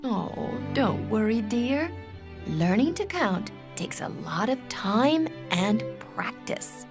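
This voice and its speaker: A woman voicing a gentle, patient mother kangaroo in a children's cartoon, soothing and encouraging. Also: on the high side, sing-song, slow, exaggerated voice. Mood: reassuring. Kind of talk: animated children's cartoon acting. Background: background music.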